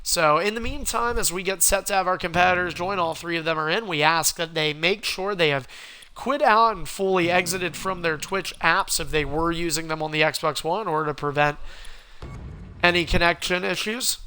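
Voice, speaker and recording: A man with a cold, sounding hoarse, hosting a game livestream and calling the action play-by-play like a wrestling announcer. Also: fairly high pitch, moderate pace, bright, forceful tone. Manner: wrestling-announcer calls, rising and punchy. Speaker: stream host and commentator.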